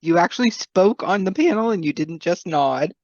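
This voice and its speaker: A woman's voice: fairly low pitch, expressive, moderate pace, a little mellow, dry recording, highs cut off. A woman co-hosting a podcast, chatting casually and mostly giving short, agreeing replies. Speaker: podcast co-host. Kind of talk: casual chat.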